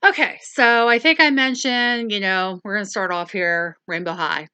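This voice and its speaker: A woman's voice: mid-range voice, moderate pace, pushed and bright, clean tone, no echo. A woman hosting a casual doll review, rambling along with lots of ums and self-corrections. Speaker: toy review channel host.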